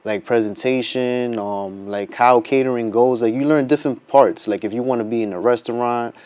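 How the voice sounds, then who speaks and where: A man's voice: medium pitch, moderate pace, a little mellow, smooth. A man giving casual interview answers in a plain-spoken, informal way, often saying like and you know. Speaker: interview guest, cheesecake baker and business owner.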